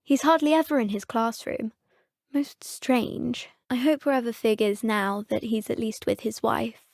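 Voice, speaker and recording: A woman's voice: fairly high pitch, steady tone, unhurried, gravelly, darkish tone, dry recording, studio quality. An actress playing an earnest, curious schoolgirl in a wizarding video game, polite and conversational. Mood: saddened. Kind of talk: video game character acting.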